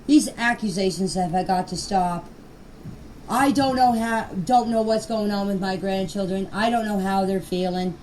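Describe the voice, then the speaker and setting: A woman's voice: mid-range voice, normal speed, a little mellow, far from the mic, slight background noise, low-quality recording. An older woman speaking informally in voice messages, plain-spoken, earnest and emphatic. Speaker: grandmother of missing children. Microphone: phone voice message.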